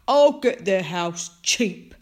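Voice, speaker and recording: A woman voicing a sly, creepy, scheming male neighbour in a children's storybook, smug and deliberate. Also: mid-range voice, expressive, a little mellow. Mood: sly, greedy.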